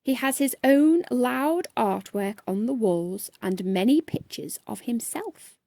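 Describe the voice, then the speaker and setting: A woman reads a children's storybook aloud, expressively and with dramatic, deliberate emphasis. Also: on the high side, expressive, gravelly, dark tone, no echo. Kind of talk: expressive bedtime story narration.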